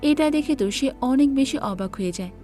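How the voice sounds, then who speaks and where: A woman's voice: high-pitched, lively intonation, a little fast, warm tone, faint music behind. A woman narrating a scripted movie recap in a plain, explanatory storytelling manner.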